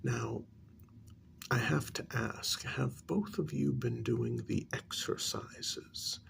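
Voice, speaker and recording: Man acting as a slow, gravelly, soft-spoken therapist. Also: huge pitch swings, heavily acted. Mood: gentle, patient. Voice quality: soft, low, gravelly, often near whisper. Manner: measured, halting, placating. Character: patient middle-aged male therapist counseling supernatural couples. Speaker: couples therapist character. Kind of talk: ASMR role-play, soft speaking.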